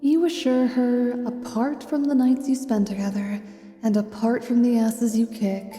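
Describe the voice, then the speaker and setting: Soft-spoken, mellow woman narrator. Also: on the high side, steady tone, a little slow, reverberant, in a large hall, soft background music. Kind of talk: soft second-person ASMR story narration.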